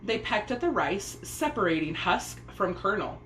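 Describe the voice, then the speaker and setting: A woman's voice: mid-range voice, big pitch swings, moderate pace, a bit bright, soft background music. A woman reading a children's story aloud, narrating expressively in a room with echo and faint noise. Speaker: storybook reader.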